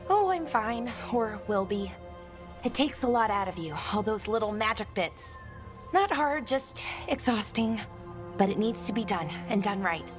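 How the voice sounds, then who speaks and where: An actress voicing an earnest, gentle young female artifact expert in a video game, speaking conversationally with slight hesitancy. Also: mid-range voice, lively intonation, a little slow, music in the background, close to an everyday voice. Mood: gentle, hesitant.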